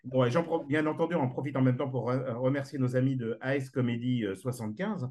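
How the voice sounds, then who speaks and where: A man's voice: medium pitch, fairly even intonation, fast talker, darkish tone. A man interviewing a guest, asking questions with a hesitant delivery full of fillers. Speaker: radio interviewer.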